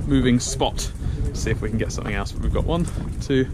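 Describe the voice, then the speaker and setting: A man's voice: mid-range voice, fairly quick. A man chatting casually as a fishing vlogger, upbeat and thinking aloud, on a small boat at sea. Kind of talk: casual on-the-spot vlog narration. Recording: loud wind and water noise on an outdoor boat mic.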